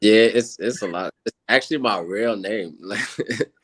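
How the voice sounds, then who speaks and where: A man's voice: lowish voice, measured pace, no room sound. A man in his thirties from Senegal, a native Wolof speaker, chatting casually as an interview guest with many fillers. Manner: relaxed, rambling with many fillers. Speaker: guest musician.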